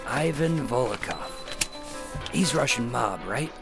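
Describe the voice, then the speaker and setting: An actor playing a wary, wry undercover FBI agent in a noir-like radio drama. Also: male voice, mid-range voice, animated, takes it slowly, creaky, music in the background. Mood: probing. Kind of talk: noir-like scripted narration and radio drama acting.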